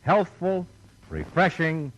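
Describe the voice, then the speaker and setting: A man's voice: a little high, huge pitch swings, slow pace, dull audio. A male radio announcer reading a 1950s sponsor message with polished, emphatic salesmanship. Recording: old radio recording, faint noise.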